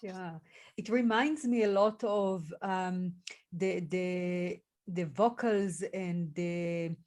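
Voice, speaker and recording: Slow, halting woman guest. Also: fairly low pitch, fairly even intonation, darkish tone. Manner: searching, hesitant, halting with fillers. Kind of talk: spiritual conversation.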